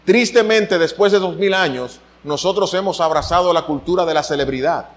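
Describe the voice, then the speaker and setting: Animated Dominican preacher, fairly high voice. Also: male voice, brisk. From Dominican Republic. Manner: animated preaching, voice swinging up for emphasis. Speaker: pastor. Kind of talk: sermon.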